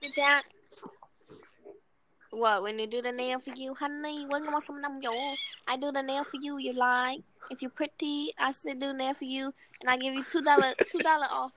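A woman's voice: high-pitched, fairly even intonation, moderate pace, fairly bright tone, slight background noise, pitched above the performer's own voice. A woman putting on a chatty nail salon worker who talks in broken English and haggles over prices. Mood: haggling.

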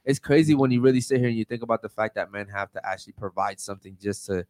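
A man's voice: fast, a little mellow, dry recording. Casual, slangy man hosting in dry sound. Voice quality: deep voice. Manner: slangy, frequent "bro" and "man". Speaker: podcast host. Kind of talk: casual panel banter.

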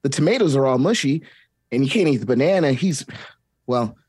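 A woman's voice: rumbling low, expressive, fairly quick, a little mellow, husky, no room sound, clean studio sound, full character voice. A woman reading the part of a cheerful male kids' TV host who asks viewers questions. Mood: upbeat. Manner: upbeat and encouraging, asks the kids questions. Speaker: table read player.

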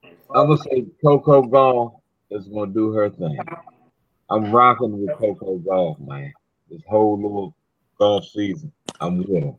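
A man co-hosting a casual sports talk show, with a husky voice and a laid-back way of talking. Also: on the low side, measured pace. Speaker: co-host. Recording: dull lo-fi sound.

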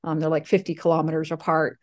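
A woman's voice: low voice, completely flat delivery, quick pace. A woman from Slovakia, Slovak her first language, telling her story casually in an interview with many fillers and restarts. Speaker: guest.

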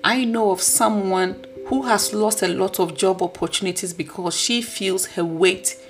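A woman with a husky voice hosting a podcast, delivering an earnest, preachy motivational talk. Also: mid-range voice, fairly even intonation, measured pace, soft background music. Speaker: podcast host.

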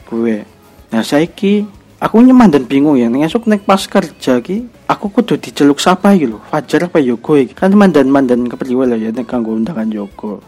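A man's voice: medium pitch, warm tone. A young man from Cilacap in Central Java with a Banyumasan Ngapak Javanese accent, hosting a casual solo podcast in a rambling, colloquial, hesitant way. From Cilacap, Central Java, Indonesia. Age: young adult. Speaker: podcast host.

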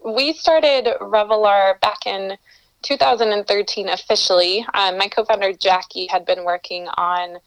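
A woman's voice: medium pitch, moderate pace, clear, resonant tone, no echo, low-quality recording. A woman from Colombia, a guest calling in to an interview, answering in an earnest, reflective way and often restarting her phrases. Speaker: guest, entrepreneur. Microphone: remote call-in.

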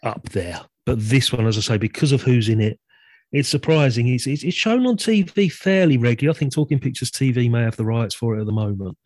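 A man's voice: medium pitch, animated, fairly quick, a little mellow, no echo. A man talking as a guest on a podcast, friendly and chatty, laughing easily. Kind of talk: casual podcast chat.

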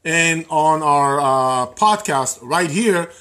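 A man with a fairly high voice, a native Hebrew speaker, talking casually to an online audience about investing. He circles back on his words and fills pauses with ums and uhs as he teaches. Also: sharp tone. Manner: conversational, repeats words, many fillers. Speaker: real estate investing educator. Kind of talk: live-streamed teaching talk.

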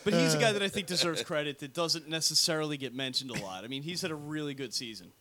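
A man co-hosting a sports podcast, giving quick opinions in casual chat. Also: a little high, sharp tone. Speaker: podcast co-host.

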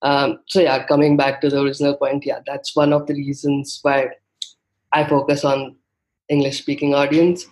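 A man's voice: mid-range voice, completely flat delivery, normal speed, darkish tone, low-quality recording. A young man from India, a native Hindi speaker, answering interview questions thoughtfully with many fillers and restarts. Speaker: guest. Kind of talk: conversational interview.

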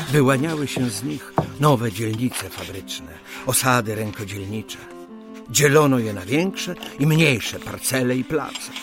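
A man narrating a scripted radio play as a storyteller, with measured, deliberate phrasing and pauses. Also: medium pitch. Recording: music under the narration. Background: music.

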